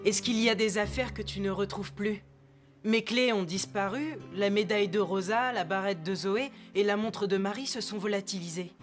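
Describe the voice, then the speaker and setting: A woman's voice: mid-range voice, moderate pace, faint music behind, natural voice. Woman voicing a thoughtful ranch teen. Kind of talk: cartoon dub voice acting.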